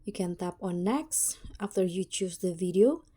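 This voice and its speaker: A woman narrating a casual step-by-step app tutorial as its host, with a halting, hesitant delivery. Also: fairly low pitch, expressive, mellow, breathy edge.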